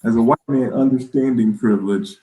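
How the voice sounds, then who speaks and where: Echoey male host, halting delivery. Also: mid-range voice, warm tone. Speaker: host, moderator. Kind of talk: conversational panel moderating. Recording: echoey room sound.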